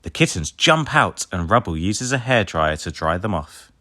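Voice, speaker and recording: A man reading a children's story aloud in an expressive way, acting out the dialogue. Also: low-pitched, normal speed, dry recording, clean studio sound. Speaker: storybook reader. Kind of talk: scripted children's story narration read aloud.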